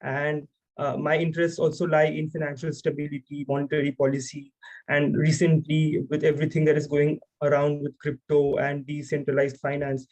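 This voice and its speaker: A man's voice: fairly high pitch, level tone, moderate pace, a little mellow, low-quality recording. A young man from India, a graduate student, introducing himself on a video call in an earnest way with frequent fillers. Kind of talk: self-introduction in online seminar.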